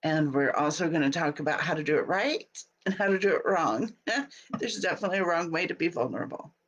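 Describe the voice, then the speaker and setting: A woman in her thirties hosting a casual live video from her home office, sounding stuffy and scratchy from a cold, chatty and quick to laugh. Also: sits low, expressive, highs cut off. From Utah. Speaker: host. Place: home office, small room indoors. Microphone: webcam at desk.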